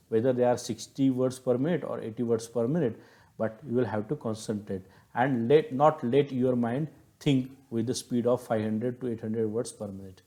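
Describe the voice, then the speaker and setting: Mellow-voiced Indian male lecturer. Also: mid-range voice. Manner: didactic, emphatic on key words, repeats and rephrases points. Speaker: teacher, lecturer. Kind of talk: explanatory lecture.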